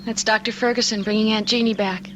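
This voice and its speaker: An actress playing a tender, emotional young newlywed wife in a radio drama, speaking earnestly. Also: female voice, on the high side, fairly even intonation, fast, highs cut off, noisy background, close to an everyday voice. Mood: happy, teasing. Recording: old radio broadcast with music.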